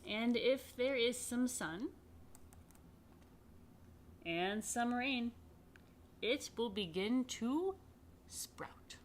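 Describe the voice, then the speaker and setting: A woman reading a picture book aloud to children, expressively and unhurriedly, with dramatic pauses and chatty asides. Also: on the high side, animated, slight background noise. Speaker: rabbi reading a children's story. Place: indoors.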